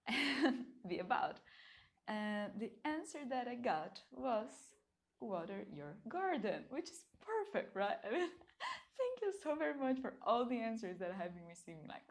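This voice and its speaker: Gentle gravelly woman reading cards. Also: medium pitch. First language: Portuguese. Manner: gentle and soft-spoken, with frequent light laughs. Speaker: card reader and channel host. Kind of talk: casual pick-a-card reading to camera.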